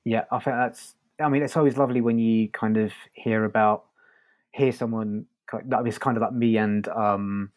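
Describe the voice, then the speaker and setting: A man's voice: fairly low pitch, fairly even intonation, normal speed, darkish tone. A man co-hosting a podcast, talking casually through a review with hesitant, tentative phrasing. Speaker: podcast co-host reviewing audio fiction.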